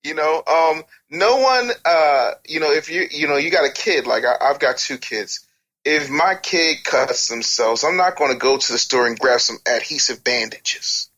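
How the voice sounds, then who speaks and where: A man's voice: fairly high pitch, lively intonation, moderate pace, bright, forceful tone, poor audio. A man speaking as an interview guest, telling casual stories and giving blunt, joking opinions in slangy speech. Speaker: interview guest, music business and branding adviser. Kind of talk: animated casual storytelling and opinion.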